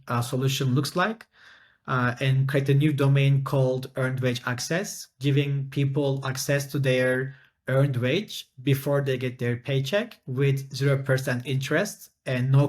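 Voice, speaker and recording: Turkish-raised man, measured and hesitant. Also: mid-range voice. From Turkey. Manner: measured, many ums and uhs, thoughtful. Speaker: startup founder and CEO, interview guest. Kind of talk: remote interview answers.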